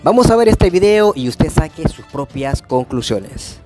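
A man's voice: on the high side, big pitch swings, a little slow, music in the background. A man from El Salvador narrating a news video voice-over in a dramatic, colloquial way.

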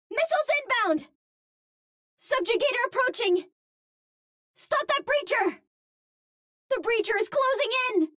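A woman's voice: extremely high pitch, fairly bright tone, no echo, studio quality, full character voice. A woman playing a cute, earnest young female Martian hero in a video game, speaking in precise, formal phrases. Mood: alarmed, urgent. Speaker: voice actor in a hero shooter game. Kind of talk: video game character voice lines.

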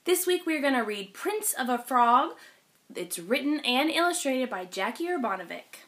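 A woman reading a children's picture book aloud in an expressive storytelling style. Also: sits high, normal speed. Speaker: read-aloud host.

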